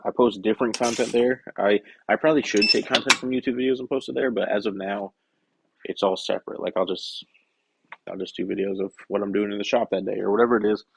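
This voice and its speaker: A young man chatting casually on a work-shop live stream, rambling and correcting himself, his voice hoarse and scratchy from a cough. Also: fairly low pitch, level tone, quick pace. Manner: rambling, self-correcting, soft-spoken asides. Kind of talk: casual live-stream chat while working.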